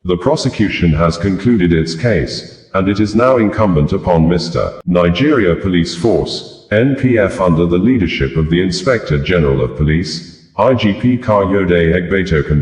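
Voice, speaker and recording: Deep-voiced man reading news, echoey. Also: darkish tone. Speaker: news reader. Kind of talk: scripted news narration.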